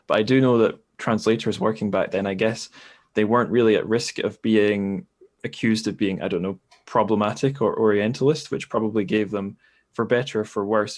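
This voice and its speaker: A man's voice: on the low side, darkish tone. A man hosting a casual podcast discussion in a tentative, self-deprecating way. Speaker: podcast host.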